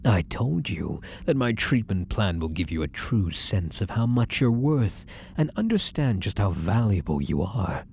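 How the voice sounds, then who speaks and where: A man performs a possessive male therapist, hopelessly devoted, in an intimate ASMR roleplay. His voice is lowish and dark, murmuring tenderly and dipping into whispers. There is faint background noise under the voice. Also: big pitch swings, gravelly, exaggerated voice. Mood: possessive, reassuring. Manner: soft, slow coaxing murmur slipping into whispers. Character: possessive, obsessively loving male fake psychiatrist, tender stalker.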